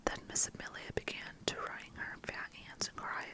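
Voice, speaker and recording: A narrator reading a classic children's novel aloud in a soft, steady whisper. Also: normal speed. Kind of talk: whispered ASMR story reading.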